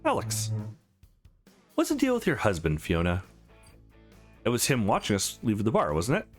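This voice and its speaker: Man reading as a guarded, brooding, low-voiced guy. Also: huge pitch swings, normal speed, soft background music. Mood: guarded, terse.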